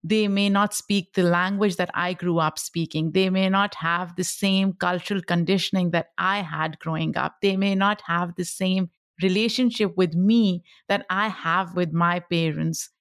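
A middle-aged woman with a Pakistani accent, a native Pashto speaker, talks in a slightly low voice. She is a podcast guest reflecting on personal experience. The sound is dry, with very little room in it. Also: fairly even intonation, measured pace, few pauses, no echo. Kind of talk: reflective personal interview talk.

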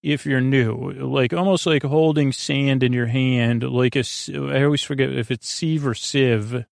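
A man with a creaky voice hosting a bedtime podcast in a meandering, halting, drawn-out monologue that keeps wandering off on tangents. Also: medium pitch, fairly even intonation, dry recording, studio quality. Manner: hesitant, halting, drawn-out, digressive. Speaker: podcast host.

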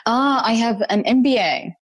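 A woman's voice: on the high side, moderate pace, no room sound. A woman in her thirties who grew up in Syria, speaking as an interview guest, enthusiastic and repeating words for emphasis. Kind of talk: interview conversation.

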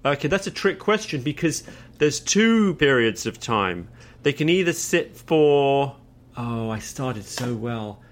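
A man's voice: medium pitch, big pitch swings, measured pace. A middle-aged man hosting a conversational interview, asking curious, probing questions with a dry wit. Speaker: interviewer host.

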